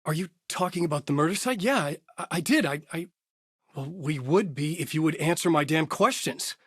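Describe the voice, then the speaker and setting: An actor playing a brooding, haunted male writer who gravely narrates his own horror story in a husky voice for a video game. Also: fairly high pitch, lively intonation, fairly quick, fairly bright tone, no room sound, clean studio sound. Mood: agitated, frustrated.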